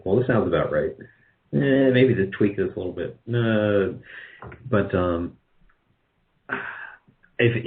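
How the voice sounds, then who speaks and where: A man with a very low voice talks casually as an interview guest. He is modest about himself and wanders through restarts as he explains. The audio is dull and lo-fi. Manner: self-deprecating, rambling with false starts. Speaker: guest, radio drama producer.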